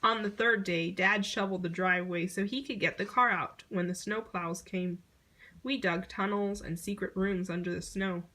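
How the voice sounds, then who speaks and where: Woman, bright crisp-edged tone, medium pitch, expressive storybook narration, scripted read-aloud. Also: moderate pace. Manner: expressive storytelling, acting out short exclamations. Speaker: picture book reader.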